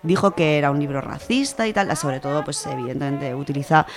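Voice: medium pitch, animated, brisk. A person with an accent from Spain giving an informal, colloquial book review on the radio, explaining things in a conversational way. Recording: music under the voice. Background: music.

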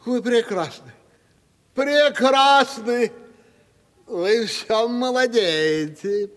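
An actor playing an affected, flattering gentleman in a radio stage comedy, effusive and repeating himself. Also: extremely high pitch, very slow, heavily acted. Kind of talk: 1970s Russian stage comedy radio acting. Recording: reverberant hall, old radio drama.

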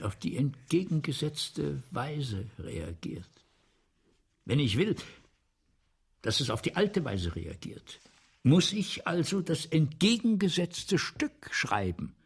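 An actor playing a vain, aggrieved aging French playwright in a radio play, husky and gravelly, with a brooding, self-important delivery. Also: male voice, mid-range voice, heavily acted. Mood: brooding, weary. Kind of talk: 1970s German radio play acting.

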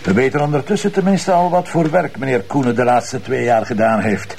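A man's voice: mid-range voice, brisk, creaky, a little mellow, slight background noise, natural voice. A man with a Flemish accent acting a sardonic, insubordinate police detective in a radio drama. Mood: mocking. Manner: sarcastic, needling.

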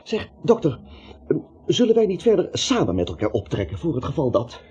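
A man's voice: a little high, wildly swooping pitch, moderate pace, a little mellow, breathy edge, full character voice. A male actor playing an anxious, timid little man in a radio play, jittery, rambling and stammering. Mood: nervous chatter. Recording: dull, slightly noisy radio recording.

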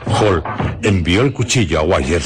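A man narrating a radio drama in a measured, literary storytelling style. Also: deep voice, animated, background noise.

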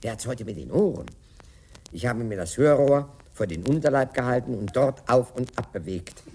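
An older man telling a theatrical anecdote, acting out the dialogue with dramatic pauses. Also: mid-range voice, animated, mellow, husky.

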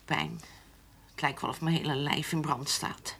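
Radio drama: old rural matriarch, deep and husky, plaintive, won't be budged. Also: female voice, steady tone, unhurried, a bit bright, heavily acted. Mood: pained, plaintive. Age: older. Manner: plaintive, obstinate. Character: stubborn, ailing old farm mother and grandmother. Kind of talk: radio play acting.

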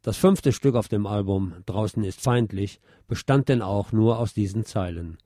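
Male nonfiction narrator: lowish pitch, warm relaxed tone, articulate literary reading. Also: moderate pace, no room sound, clean studio sound. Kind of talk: scripted narration, literary essay read aloud.

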